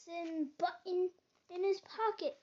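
A high-voiced child reads a storybook aloud at a slow pace. The reading is halting, with small stumbles. The recording is dull and lo-fi. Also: a little mellow.

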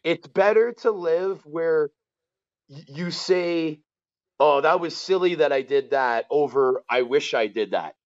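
A man in his forties with a Canadian accent, telling stories casually as a podcast guest, colloquial and animated, often checking that the listener follows. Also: a little high, unhurried, no room sound, dull audio. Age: 40s. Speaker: former pro hockey player, interview guest.

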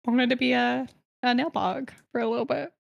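A woman on a casual podcast, her voice sitting on the higher side. She chats freely, laughs easily and tells stories with dramatic flair. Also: steady tone, brisk, vocal fry, lo-fi sound. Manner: chatty, giggly, dramatic storytelling. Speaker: podcast co-host presenting lore research.

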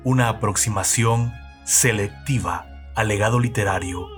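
A man reading a scripted introduction in a slow, measured, solemn way. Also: on the low side. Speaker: narrator introducing the episode. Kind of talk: scripted narration over music. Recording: narration over loud music bed.

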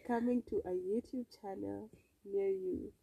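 A woman's voice: medium pitch, steady tone, a little slow, vocal fry, mellow, a little hiss or hum. A Kenyan woman co-hosting a podcast, chatting casually and bantering. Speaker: podcast co-host. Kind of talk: casual bantering chat, mixing Swahili and English.

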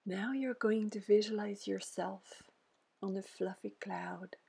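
A woman leading a guided meditation in a soft, breathy, near-whispering voice, gently soothing, with pauses between short phrases. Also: medium pitch, steady tone. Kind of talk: guided meditation, scripted narration.

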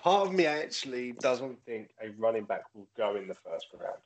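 A man co-hosting a casual podcast, chatty and quick to laugh at his own jokes. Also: medium pitch, sing-song. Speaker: podcast co-host. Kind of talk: casual chat. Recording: dull lo-fi sound.

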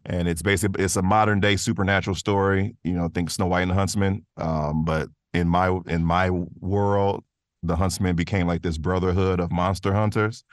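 A man's voice: deep voice, steady tone, moderate pace, no echo, clean studio sound. A man speaking as an interview guest, telling stories in a casual, modest, easygoing way. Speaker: interview guest, voice actor and creator. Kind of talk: casual conversational storytelling.

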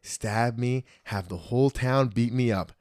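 A man in his thirties telling stories in a casual podcast chat, profane and animated. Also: mid-range voice, measured pace, no echo, clean studio sound. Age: 30s. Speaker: podcast guest.

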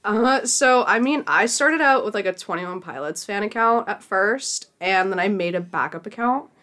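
A woman's voice: mid-range voice, animated, normal speed, sharp tone. A woman in her twenties, a returning podcast guest, chatting casually with short, laid-back replies. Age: 20s. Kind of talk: casual chat.

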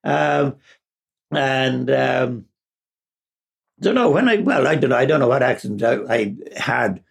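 A man's voice: medium pitch, expressive, takes it slowly, gravelly, slightly rough. A man with an Irish accent, telling personal stories in a rambling way with frequent chuckles and restarts. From Ireland, raised partly in England. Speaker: podcast guest. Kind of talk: casual personal storytelling.

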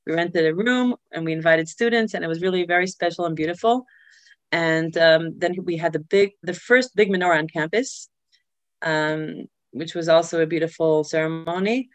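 A woman who grew up in Israel, telling her life story as an interview guest, pausing often with filler sounds and restarts. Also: lowish voice, no echo. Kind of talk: personal life story in conversation.

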